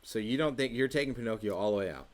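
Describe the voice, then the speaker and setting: A young man co-hosting a church youth show, running a movie quiz in a relaxed, teasing, slangy way. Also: medium pitch, fast. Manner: laid-back, teasing, slangy. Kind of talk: casual chat, quiz hosting.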